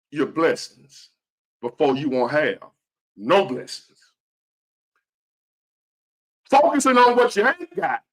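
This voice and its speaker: Rich: A man in his fifties with a high, bright voice gives a motivational talk straight to his viewers. His pitch leaps up and plunges down as he drives points home, repeating key phrases and dropping to a hush before rising again. The delivery is earnest and preachy. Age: 50s. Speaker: motivational vlogger.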